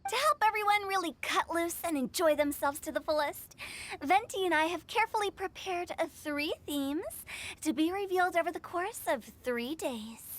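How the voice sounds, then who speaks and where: Very high-pitched, theatrical girl host. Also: lively intonation, moderate pace, a bit bright. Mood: upbeat announcing. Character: playful teenage girl event host, rhyming and theatrical. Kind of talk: video game voice acting.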